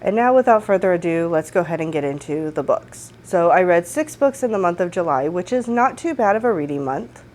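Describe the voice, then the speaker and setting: A woman in her thirties talks through her month of books in an upbeat, chatty way, her voice a little congested from a cold. Her voice sits on the lower side for a woman. She speaks into a desk microphone in a room at home. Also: animated, a little fast, few pauses, a little mellow. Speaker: book vlogger. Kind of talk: casual book wrap-up chat.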